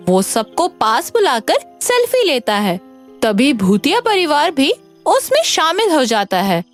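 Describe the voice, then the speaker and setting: A woman narrating a scripted animated story for children. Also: high voice. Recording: music under the voice.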